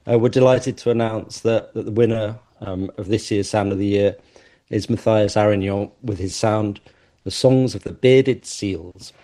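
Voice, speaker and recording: Man, low voice, hesitant, thoughtful, fillers. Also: normal speed, dark tone. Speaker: musician and competition judge. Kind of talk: radio interview guest.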